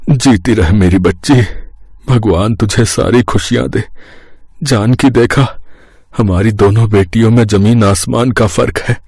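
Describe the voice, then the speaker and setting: A man's voice: fairly low pitch, measured pace, a little mellow, husky, no room sound, clean studio sound. A man voicing an earnest, middle-aged village father, solemn and protective, in a cartoon moral story. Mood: solemn, moved.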